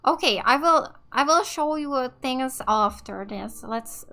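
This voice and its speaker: A woman's voice: high-pitched, lively intonation, unhurried, bright, forceful tone. A woman from Finland with a Finnish accent giving casual, slightly hesitant let's play commentary as a gaming YouTuber. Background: game music.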